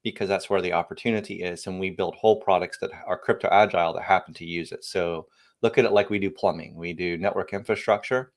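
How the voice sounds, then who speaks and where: Low-voiced guy, founder type, rambles and restarts a lot, gets emphatic now and then. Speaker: guest, tech company founder. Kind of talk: podcast interview, casual technical talk.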